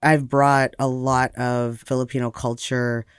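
A man's voice: mid-range voice, level tone, unhurried, clear, resonant tone, no echo, studio quality. A man with an American accent telling personal stories reflectively and conversationally as an interview guest.

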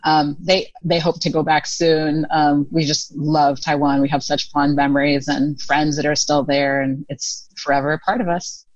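A woman's voice: low voice, flat delivery, normal speed, few pauses, no room sound. Chatty American woman, lo-fi call audio. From United States. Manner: chatty, many fillers, laughs often. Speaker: podcast guest. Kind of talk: casual storytelling interview.

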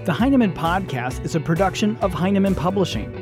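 A man's voice: high voice, animated, fast. A man reading scripted podcast credits as an announcer and producer. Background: guitar music.

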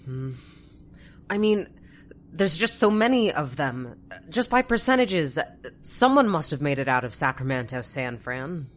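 A woman's voice: sits low, sing-song, measured pace, a little hiss or hum. A woman acting an anxious, overwhelmed woman in a sci-fi audio drama, hesitant and stammering over repeated words.

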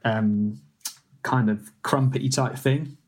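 A man's voice: mid-range voice, fairly even intonation, takes it slowly, darkish tone. A young man, a food editor and ex-baker, explaining casually with lots of "like" and restarts. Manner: rambling, hedging with "like" and restarts.

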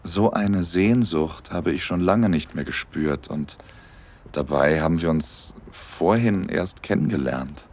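A young man in love, in a romantic audio drama. His voice is deep and low. He speaks tenderly and intimately, as a smitten, slightly nervous storyteller. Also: unhurried, a little mellow. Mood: longing, intimate. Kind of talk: intimate first-person audio drama narration and dialogue.

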